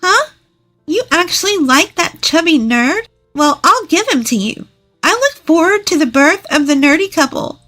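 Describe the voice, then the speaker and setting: A story narrator puts on a bossy young woman, the snooty older sister, pitched very high. The delivery is exaggerated and full of scorn, over light music. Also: big pitch swings, moderate pace, a bit bright, full character voice. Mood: mocking. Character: bossy, snooty twenty-eight-year-old older sister.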